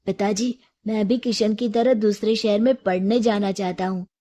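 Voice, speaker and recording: A woman's voice: medium pitch, steady tone, normal speed, warm tone, natural voice. A woman voicing an earnest, restless young man in a storybook tale. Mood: earnest, pleading.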